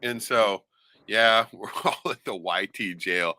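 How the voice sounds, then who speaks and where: Profane bright-voiced man in his fifties. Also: on the low side, slow pace, no echo. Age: 50s. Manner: profane, loud, laughing. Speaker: livestream co-host. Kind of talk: casual livestream chat.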